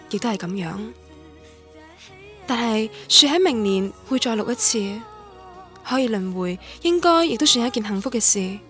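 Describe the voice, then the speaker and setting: A woman's voice: on the high side, a bit bright. An actress playing a lonely young woman student, narrating her memories in Cantonese radio drama in a wistful, literary manner. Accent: Cantonese from Guangdong.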